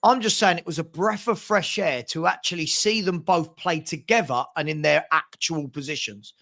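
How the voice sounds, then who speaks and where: A man with a high voice talks through a football debate in a fan chat show. He is argumentative and keeps circling back to his point, insisting on it. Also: moderate pace, creaky, fairly bright tone. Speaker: co-host of a fan podcast. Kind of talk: heated casual debate.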